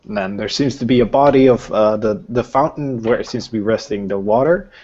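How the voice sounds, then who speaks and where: A man running a tabletop game as game master, improvising narration haltingly as he searches for words. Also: on the low side, darkish tone. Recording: dull, lo-fi voice recording.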